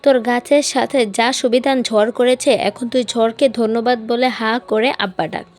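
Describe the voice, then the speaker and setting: A woman's voice: on the high side, steady tone, moderate pace, close to an everyday voice. A woman voicing a cheeky, playful grown man in an audiobook, teasing with mock-serious, pompous reasoning. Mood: smug teasing. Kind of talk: audiobook character dialogue.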